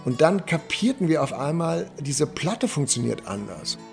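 A man with a slightly high, creaky voice recalls his memories in an interview. He talks in anecdotes and corrects himself along the way, with some music behind him. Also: very animated, moderate pace, darkish tone. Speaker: theatre dramaturg, interviewee. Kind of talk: personal reminiscence in an interview.

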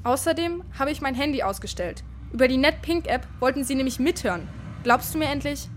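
Children's radio drama: actress as insistent, earnest schoolgirl, high register, slight ambience behind. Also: a little fast, slight background noise, natural voice. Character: earnest, eager schoolgirl turned double agent. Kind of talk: children's radio play acting.

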